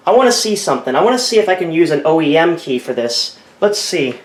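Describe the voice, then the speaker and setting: A man's voice: fairly high pitch, sing-song, quick pace. Rambling man in an echoey room. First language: English. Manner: rambling, self-correcting, thinking aloud. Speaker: tech video creator. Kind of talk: casual screen-recording commentary.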